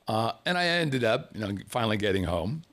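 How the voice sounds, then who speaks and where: A middle-aged man from the United States telling a comic personal story, animated and profane, laughing as he goes. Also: fairly low pitch, a little fast.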